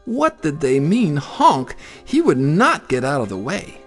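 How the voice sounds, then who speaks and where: A man narrating a children's story in an expressive, theatrical way. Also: a little high, unhurried, faint music behind. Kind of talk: dramatic children's story reading.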